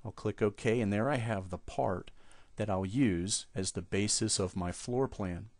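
Low-voiced man walking through software steps. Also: unhurried, dry recording. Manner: steady step-by-step explaining. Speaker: software trainer. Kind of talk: screen-recorded software tutorial. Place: at a computer, voice-over of screen.

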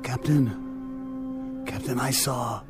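An actor playing a weak, exhausted, dying crewman in a radio drama, speaking in dazed, halting, broken phrases. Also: medium pitch, over loud music. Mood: dazed, struggling.

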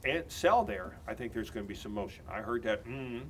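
An older man in his sixties giving a confident real estate listing pitch in an office conference room. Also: lively intonation, fairly quick, fairly bright tone, slight background noise. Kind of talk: mock listing presentation.

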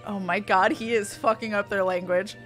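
A woman game streamer giving casual, excitable live commentary and swearing freely. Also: mid-range voice, moderate pace, soft background music.

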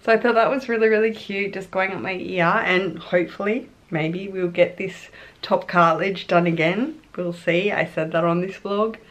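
A woman's voice: lowish voice, steady tone, normal speed, a bit bright. A woman in her thirties with an Australian accent, chatting casually and gushingly to camera for a vlog at home. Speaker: vlogger. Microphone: camera at arm's length or on table.

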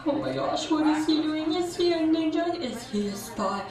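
Woman voicing a nervous cat girl, high-pitched and unhurried, fretful, loud music and crowd behind. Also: exaggerated voice. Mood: whispered panic. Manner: nervous, giggly, panicky muttering to herself. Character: anxious, flustered kitty-cat girl.

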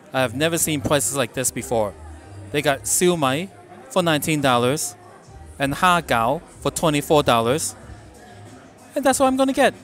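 Wry middle-aged man in a dim restaurant. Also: a little high. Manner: wry, deadpan remarks about prices. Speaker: food vlogger. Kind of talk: casual restaurant review vlog. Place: dim restaurant booth, indoors.

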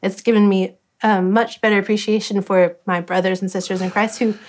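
A woman in her thirties, the interviewer, sharing earnest and slightly halting reflections in a living room conversation. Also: medium pitch, level tone, a little mellow.

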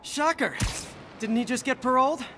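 A man's voice: very high voice, moderate pace. An actor playing a joking, self-mocking young male hero in a video game. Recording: game audio with loud action noise.

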